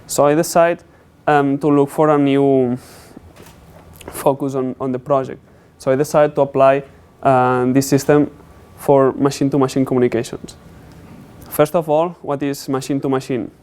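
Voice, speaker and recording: Young man giving a halting technical presentation. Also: mid-range voice, little pitch movement, a little mellow, clean tone. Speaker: student presenter.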